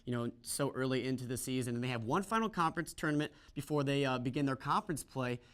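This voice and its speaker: A young man anchoring a student sports show, talking about the team with quick enthusiasm in a TV studio. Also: mid-range voice, fairly bright tone, clean tone. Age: young adult.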